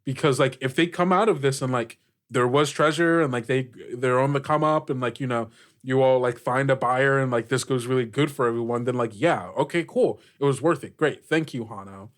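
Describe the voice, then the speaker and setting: A man's voice: mid-range voice, a little fast. A man game-mastering a tabletop roleplay, talking informally and emphatically as he thinks aloud. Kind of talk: casual tabletop roleplay discussion.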